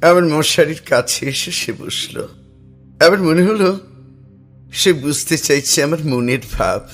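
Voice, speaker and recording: A fairly high-voiced man narrates a ghostly encounter in an audio drama. He speaks slowly and deliberately, uneasy yet tender, with music underneath. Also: animated, fairly bright tone, heavily acted. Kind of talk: dramatic first-person horror story narration.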